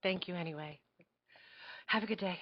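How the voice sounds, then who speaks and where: A woman's voice: on the low side, moderate pace, no echo, muffled recording, lightly acted. A woman at a live table read playing a polite, earnest young girl activist who speaks hesitantly with small stammers. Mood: shy, hopeful.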